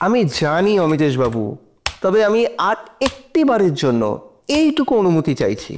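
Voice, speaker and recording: A man playing a shrewd, composed defense lawyer in an audio drama, questioning deliberately with pauses for effect. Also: a little high, wildly swooping pitch, a little hiss or hum. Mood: probing, composed. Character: shrewd male defense lawyer cross-examining in court, probing, composed. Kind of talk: audio drama courtroom acting.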